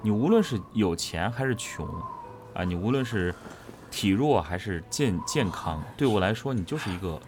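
A man's voice: on the low side, animated, moderate pace, a little mellow. A man from Henan chatting casually as a host about an audio drama, often repeating and rephrasing for emphasis. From Henan, China. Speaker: host and voice actor. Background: audio drama and music playing.

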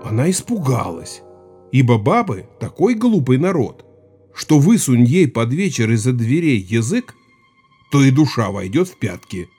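An actor playing a gruff, gray-haired village Cossack who spins folk tales in a rambling, colloquial, self-satisfied way in an audio drama. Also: male voice, mid-range voice, very animated, dark tone, soft background music, exaggerated voice. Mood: suspenseful, relishing. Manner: rambling, unhurried, colloquial, savoring the tale.

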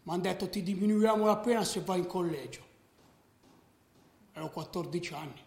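A restless young tearaway confesses without regret in a radio play. His voice is high with a gravelly creak, colloquial and matter-of-fact, in an echoey room. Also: moderate pace, a little mellow. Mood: matter-of-fact bravado. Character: restless delinquent young male football fan, unrepentant. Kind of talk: radio drama confessional monologue.

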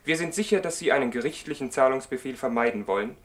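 Man playing a formal, mid-pitched threatening lawyer. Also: lively intonation, fairly bright tone, lightly acted. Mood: politely threatening. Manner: formal, measured. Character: smoothly threatening, formal male collection lawyer. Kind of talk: German radio play acting, formal letter reading.

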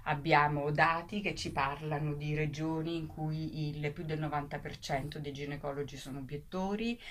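A woman radio guest giving an explanation in interview style, halting at times and emphatic on key words. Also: low-pitched, fairly even intonation. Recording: faint background noise.

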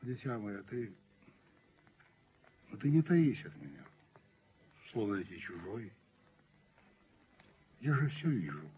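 An actor playing a genteel, controlling middle-aged father in a radio play, measured, earnest and persuasive. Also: fairly low pitch, sing-song. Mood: earnest, persuading. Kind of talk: radio play stage acting. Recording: muffled 1970s radio drama recording.

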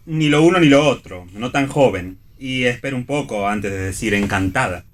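Actor as a provocative, animated Argentine man. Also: medium pitch, moderate pace, lightly acted. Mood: teasing. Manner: teasing, provocative. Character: provocative, self-assured man, no longer very young, sparring teasingly. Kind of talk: radio theatre reading.

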